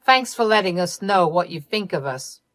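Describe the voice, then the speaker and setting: A woman's voice: fairly low pitch, expressive, normal speed, a bit bright, dry recording. A woman voicing a stern older father who speaks in a cold, firm way.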